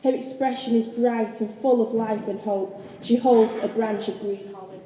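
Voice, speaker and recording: A woman's voice: a little high, fairly even intonation, normal speed, warm tone. A woman reading scripted narration for a radio play. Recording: echoey hall with faint noise.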